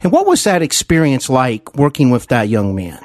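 A man's voice: medium pitch, huge pitch swings, normal speed, no echo, clean studio sound. A man hosting a conversational interview, admiring and meandering in how he talks. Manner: admiring, long-winded, meandering. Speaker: podcast host, interviewer.

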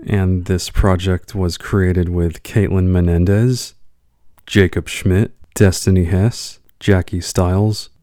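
Deep, mellow, slow-speaking man. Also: little pitch movement, no room sound, clean studio sound. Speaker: podcast host. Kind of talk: scripted introductions. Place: dry, close recording.

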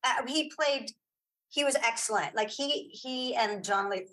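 A middle-aged woman with a fairly high voice speaks as a guest in a casual interview. She tells stories in an animated, emphatic manner, often feeling around for the right name as she goes. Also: a little slow, low-quality recording. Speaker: interview guest, writer and director. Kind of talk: casual chat, telling anecdotes.

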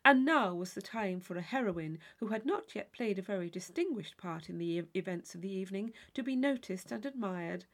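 A woman's voice: medium pitch, expressive, fairly quick, hardly any pauses. A woman reading a classic novel aloud as scripted literary narration. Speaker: audiobook reader.

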